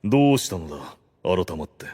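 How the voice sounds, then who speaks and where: A man's voice: low-pitched, sing-song, moderate pace, dark tone, slight background noise. A man playing a male ruler in an anime audio drama, formal and lordly but privately awkward and exasperated. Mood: calm, lordly. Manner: formal authority slipping into casual muttering. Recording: music behind the voice.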